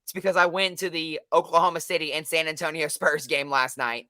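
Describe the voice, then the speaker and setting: A young man co-hosting a casual sports podcast, hoarse from losing his voice, talking in a hyped, energetic way. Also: fairly high pitch, a little fast, no echo, studio quality. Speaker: podcast co-host.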